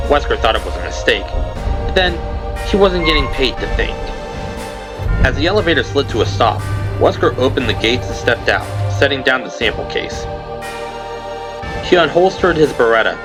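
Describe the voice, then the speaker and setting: A man giving dramatic scripted narration for an audio drama, with deliberate, suspenseful phrasing. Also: mid-range voice.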